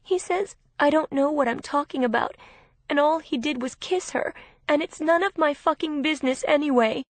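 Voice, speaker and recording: Woman narrator as a young bride-to-be, much higher pitch, tearful, pleading, defensive, stubborn, naive, audiobook drama, close dry sound. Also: moderate pace, no echo, lightly acted. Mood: upset, guilty.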